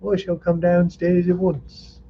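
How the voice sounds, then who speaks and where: A man's voice: high voice, completely flat delivery, a little slow, dull audio, a little hiss or hum, voice pushed up. Man doing a bold, gallant young swordsman. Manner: confident, declamatory, courtly.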